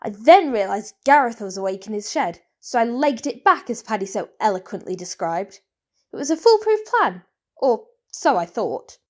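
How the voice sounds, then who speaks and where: A woman's voice: on the high side, big pitch swings, normal speed. A woman acting a scolding, wounded aunt turned murderer in a comic drama, theatrical and indignant. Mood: matter-of-fact confession.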